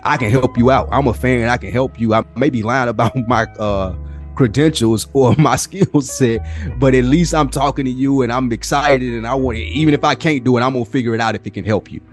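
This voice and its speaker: A man's voice: mid-range voice, a little fast. A man co-hosting a podcast in casual, slangy conversation, often repeating phrases for emphasis. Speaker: podcast co-host. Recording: music behind the voice. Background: music.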